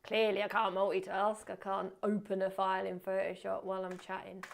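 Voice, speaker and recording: A woman teaching photography in a live webinar, chatty and self-deprecating, muttering quietly to herself as she works at her computer. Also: medium pitch, monotone, a little fast, gravelly. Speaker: photography instructor. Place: indoors at a computer.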